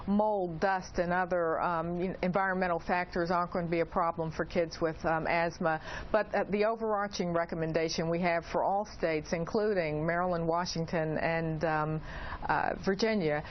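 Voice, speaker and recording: A woman speaking as an expert guest in an interview, explaining in a hesitant way with frequent pauses. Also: fairly low pitch, fairly even intonation. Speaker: expert guest, principal investigator. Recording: dull lo-fi audio, clearly noisy.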